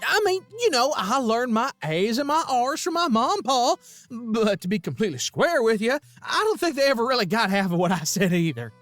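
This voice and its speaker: A woman's voice: a little high, big pitch swings, a little fast, faint music behind. A woman voicing a nervous, wisecracking young male deer, rambling in a folksy way in an audio story. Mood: awkward. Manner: folksy, awkward, jokey rambling. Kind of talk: acted dialogue in an audio story.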